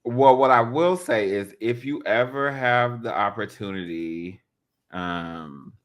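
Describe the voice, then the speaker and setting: A man speaks in a fairly low register as a podcast co-host. His talk is casual and slangy, in the manner of everyday conversation. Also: slow pace, fairly bright tone. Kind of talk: casual chat.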